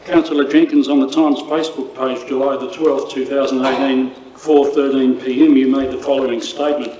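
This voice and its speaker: A man's voice: medium pitch, little pitch movement, fairly quick, warm tone, lo-fi sound, slight background noise. An older man reading a formal, pointed question aloud at a council meeting into a desk mic. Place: council chamber, indoors. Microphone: desk microphone. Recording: echoey council chamber.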